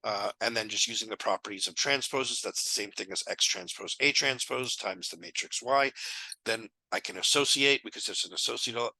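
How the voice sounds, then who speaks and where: A man in his thirties talks through math proofs in a relaxed, thinking-aloud way, his voice hoarse and gravelly from illness. His tone is sharp and bright. The sound is dry and close, from a headset mic in a small room. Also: fairly low pitch, a little fast, dry recording, poor audio. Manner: casual, thinking aloud with fillers. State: sick, losing his voice. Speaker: math tutor. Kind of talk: casual lecture walkthrough.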